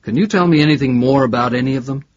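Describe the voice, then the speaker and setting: Man acting a husky, probing investigator. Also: mid-range voice, racing, dull audio, lightly acted. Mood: curious, polite. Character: male investigator Robert, polite, probing, persistent. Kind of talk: audiobook character dialogue.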